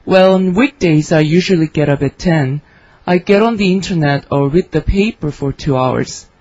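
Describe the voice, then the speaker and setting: A woman reading her part in a scripted English conversation for learners, with clear, careful delivery. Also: deep voice, normal speed, darkish tone, no echo. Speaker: dialogue reader for English learners. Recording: dry, slightly dull studio recording.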